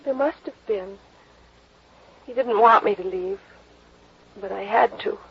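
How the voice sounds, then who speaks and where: An actress playing a dazed, frightened young woman in a 1950s radio drama, halting and trailing off, on a dull old broadcast recording. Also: medium pitch, gravelly, husky, heavily acted. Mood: dazed, shaken.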